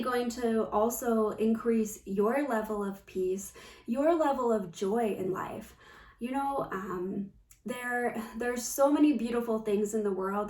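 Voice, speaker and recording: A woman speaking off the cuff as a spiritual coach to viewers, in a measured, reflective manner with pauses. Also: fairly high pitch. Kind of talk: unscripted spiritual talk to viewers. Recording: roomy echo, distant microphone.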